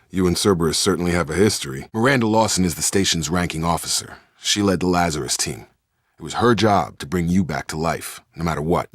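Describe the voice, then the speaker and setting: Deep dry-sounding game soldier, loyal squadmate, guarded but frank, clipped military talk. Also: male voice, no room sound. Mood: matter-of-fact. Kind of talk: video game character dialogue.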